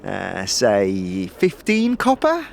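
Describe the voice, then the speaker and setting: A man playing a greedy, grudging street thug in an audio drama, with a rough colloquial way of talking. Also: fairly low pitch, wildly swooping pitch, very slow, heavily acted.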